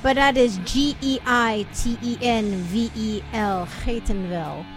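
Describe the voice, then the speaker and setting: A woman's voice: on the high side, measured pace, music in the background. Playful Dutch-accented female radio co-host. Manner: playful, teasing, joking. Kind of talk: casual radio banter.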